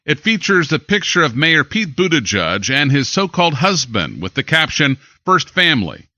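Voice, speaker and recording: An American man reading scripted news as an anchor, with an emphatic, deliberate newsreader delivery. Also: on the high side, big pitch swings, pushed and bright, dry recording, dull audio.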